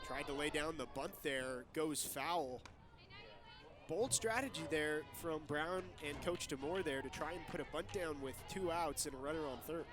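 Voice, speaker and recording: A young man doing live softball play-by-play as the lead commentator, in clipped, present-tense calls. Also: on the high side, moderate pace. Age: young adult.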